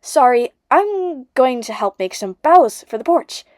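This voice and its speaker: Woman reading as an evasive, higher-pitched teenage girl. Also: sing-song, measured pace, darkish tone, no room sound, studio quality. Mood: apologetic, secretive. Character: evasive, gossip-loving teenage girl friend, pressing then sheepish. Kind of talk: audiobook character dialogue.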